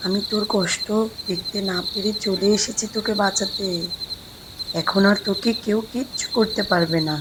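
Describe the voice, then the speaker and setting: A woman voicing a witch who comes back as a girl's protective mother, speaking slowly and commandingly in a cartoon. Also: mid-range voice, steady tone, lightly acted. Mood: soothing. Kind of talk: cartoon voice acting. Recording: loud background noise.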